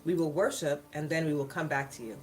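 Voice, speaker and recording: A woman's voice: deep voice, a little fast, a little mellow, pitched below the performer's own voice, lightly acted. A woman voicing a devout old patriarch who speaks solemnly and reassuringly to his son in a storybook reading. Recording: background music behind the voice.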